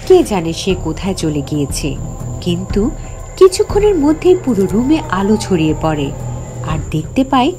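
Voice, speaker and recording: A woman's voice: mid-range voice, big pitch swings, normal speed. A woman giving dramatic, theatrical first-person narration of a horror story, voicing the female lead's dialogue. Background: background music.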